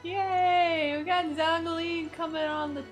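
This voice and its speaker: A woman with a high voice gives playful gameplay commentary at an unhurried pace. She is casual and joking, with faint game music behind her. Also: level tone. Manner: joking, voices mock quotes. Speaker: gaming commentator.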